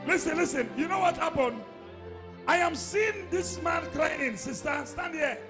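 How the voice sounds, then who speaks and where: Shouting high-voiced male prophet. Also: a little slow, over music. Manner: shouting, commanding, repetitive. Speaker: pastor. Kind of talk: prophetic deliverance preaching.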